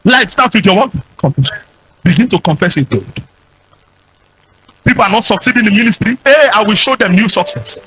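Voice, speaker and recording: A Nigerian man with a husky voice and a Nigerian accent, preaching a fiery charismatic sermon as a pastor, exhorting with repeated commands and declarations. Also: high-pitched, animated, a little fast, pauses often, a bit bright, soft background music, low-quality recording.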